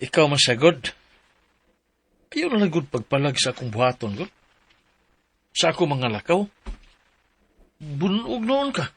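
A man's voice: on the high side, sing-song, measured pace, long pauses, slight background noise. A man playing an abusive, drug-addicted husband in a radio drama, taunting and domineering, with a Cebuano Filipino accent. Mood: cold, threatening.

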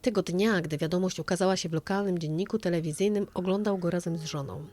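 A woman's voice: lowish voice, steady tone, quick pace, mellow. A woman narrating a true-crime story as a dramatized audio reading.

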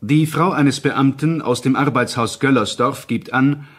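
A man's voice: mid-range voice, unhurried, fairly bright tone, husky. A man reading scripted crime documentary narration in a formal, factual manner.

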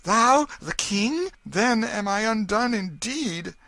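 A man's voice: a little high, lively intonation, measured pace, bright, forceful tone, no echo, voice pushed up, heavily acted. A man doing a trembling pauper boy. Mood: frightened pleading. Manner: trembling, humble, archaic speech. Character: pleading pauper boy mistaken for a prince.

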